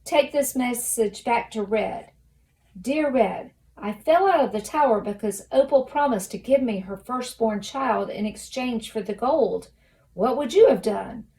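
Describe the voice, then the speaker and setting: A woman's voice: fairly high pitch, lively intonation, unhurried, dark tone, low-quality recording. A woman voicing a weary, irritated boy in a storybook, with a wry, exasperated manner.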